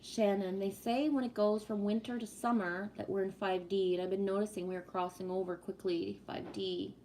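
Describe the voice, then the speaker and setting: A woman's voice: medium pitch, level tone, moderate pace, darkish tone, a little hiss or hum, low-quality recording. A woman in her fifties hosting a livestream, chatting casually and greeting viewers while talking about spiritual topics. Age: 50s. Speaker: livestream host.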